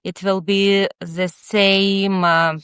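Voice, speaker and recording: Slow, smooth-voiced woman. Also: mid-range voice, level tone, a bit bright, no room sound. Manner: halting, thoughtful, frequent pauses and fillers; candid, reflective. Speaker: guest author, child psychotherapist. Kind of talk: podcast interview answers.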